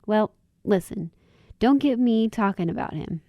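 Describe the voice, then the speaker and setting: Mellow female voice, medium pitch, actress as kind young woman, candid, earnest, dry radio drama sound. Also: expressive, unhurried, dry recording. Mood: wistful.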